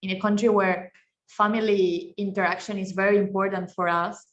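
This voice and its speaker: A woman's voice: mid-range voice, steady tone, normal speed, lo-fi sound. A woman from Argentina, a student presenter, answering with stumbling restarts.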